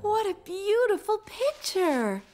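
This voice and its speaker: A woman playing a cheerful, affectionate young mother in a preschool cartoon, expressive and gently encouraging. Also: extremely high pitch, slow, full character voice. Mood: delighted, affectionate. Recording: faint music behind.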